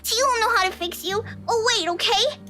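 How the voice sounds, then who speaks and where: Very high-pitched little girl. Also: moderate pace, sharp tone, faint music behind. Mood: worried, innocent. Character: little girl, innocent yet eerie when possessed.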